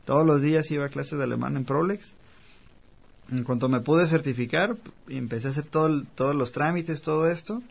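A man's voice: fairly high pitch, brisk, vocal fry, breathy edge. A man, a musician guest talking casually in an interview, rambling with many fillers. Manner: chatty, rambling, lots of fillers. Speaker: guest, cellist.

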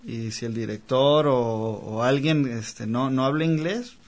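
Male guest, casual and talkative, full of fillers, mid-pitched. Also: measured pace. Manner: chatty, rambling, lots of fillers. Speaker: guest, cellist. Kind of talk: casual interview chat.

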